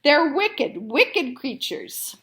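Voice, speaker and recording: A woman's voice: high-pitched, very animated, very slow, a bit bright, exaggerated voice. A woman reading a storybook as a firm, wary male mouse dentist who speaks emphatically. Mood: wary grumbling.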